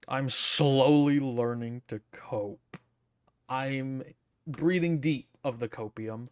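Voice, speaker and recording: A woman's voice: rumbling low, takes it slowly, darkish tone, no room sound. A woman streamer giving casual, sardonic, sweary commentary while playing a game, close on the mic. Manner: sardonic, sweary, muttered asides.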